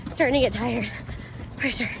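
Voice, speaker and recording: A woman's voice: fairly high pitch, normal speed, creaky. A young woman vlogger giving short, casual updates to camera while running a race outdoors. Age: young adult. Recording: noisy outdoor street with crowd.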